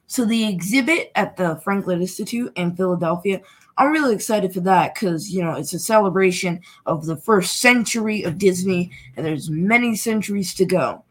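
A woman with a husky, slightly breathy voice co-hosts a casual podcast. She speaks in a playful, teasing way and keeps the conversation light. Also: lowish voice, moderate pace. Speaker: podcast co-host.

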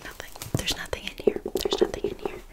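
Flaky, scammy witch for whispered ASMR roleplay, played by a woman: soft breathy whisper, halting casual rambling, blithely unbothered. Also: close to an everyday voice. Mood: uneasy, reassuring.

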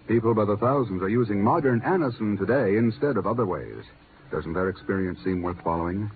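A man announcing on a 1950s radio program, reading a script in a polished, emphatic, deliberate way. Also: lowish voice, a little hiss or hum. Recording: muffled 1950s radio broadcast recording.

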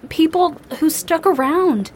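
An actress playing an earnest, hopeful young band member in an audio drama. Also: female voice, extremely high pitch, animated, a little slow, a little mellow, a little hiss or hum. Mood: reassuring.

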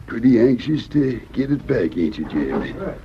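An actor playing a wily, folksy old gold prospector with a rural American drawl in an old-time radio drama. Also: male voice, mid-range voice, very animated, measured pace, creaky, warm tone. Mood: stubborn, coy. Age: older. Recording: dull noisy vintage radio recording.

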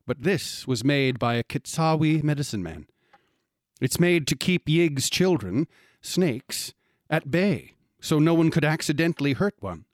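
Grave storyteller, very dry sound. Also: male voice, a little high, lively intonation, dry recording. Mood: grave, expository. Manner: measured, storytelling, ominous. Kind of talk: radio drama acting.

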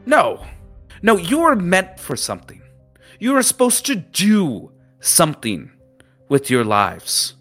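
A man's voice: high-pitched, wildly swooping pitch, slow pace, voice pushed up, exaggerated voice. A man voicing an imperious male sea captain who scolds students with contempt, over faint music. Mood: scornful. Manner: scolding, shouted outbursts.

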